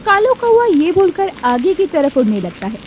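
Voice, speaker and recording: High, lively woman narrating a cartoon. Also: moderate pace, slight background noise. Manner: expressive, storytelling. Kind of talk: scripted storybook narration.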